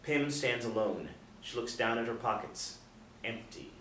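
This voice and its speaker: A man narrating stage directions at a screenplay table read in measured, dramatic storytelling phrases. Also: medium pitch, a bit bright. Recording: echoey room, distant, faint noise.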